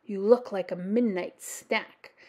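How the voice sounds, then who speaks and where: A woman's voice: medium pitch, slow, darkish tone. A woman voicing a big, hungry, menacing dragon with a snarl in a children's storybook.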